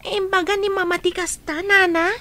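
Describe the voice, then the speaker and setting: High, bright-voiced orphaned child. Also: female voice, flat delivery, normal speed. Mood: anxious questioning. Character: orphaned young child, anxious, questioning, respectful. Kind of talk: radio drama acting in Ilocano.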